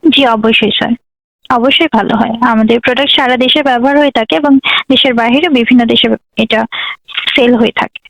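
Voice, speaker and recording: Young bangladeshi lady doing call center talk, high voice, quick, polite n scripted. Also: fairly even intonation, a bit bright, dry recording, rough recording. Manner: polite, formulaic. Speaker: customer service call agent. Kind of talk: scripted, formal phone customer service.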